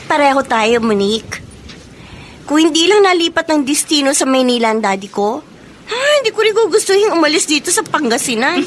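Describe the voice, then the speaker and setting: A woman's voice: sits high, sing-song, fairly quick, a little hiss or hum. A woman playing a bossy yet caring young best friend with a Filipino accent in a radio drama. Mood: agreeable.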